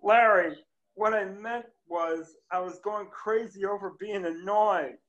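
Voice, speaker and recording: A man reading the part of an easily annoyed bar owner in an amateur table read over a video call, shouting and complaining in exasperation. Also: high voice, fairly even intonation, unhurried, highs cut off. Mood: exasperated. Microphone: laptop webcam mic on video call.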